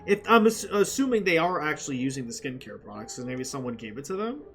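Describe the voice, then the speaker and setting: A young man giving casual gameplay commentary, thinking aloud in a halting, slangy way. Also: mid-range voice, sing-song, a bit bright, faint music behind. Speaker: gamer playing on camera or mic.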